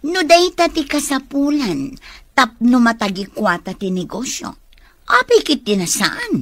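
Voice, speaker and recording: Scheming ilocano villainess, highish voice swinging all over, greedy, radio drama. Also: female voice, very animated, unhurried, dry recording, exaggerated voice. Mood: goading. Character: conniving female accomplice, greedy and goading.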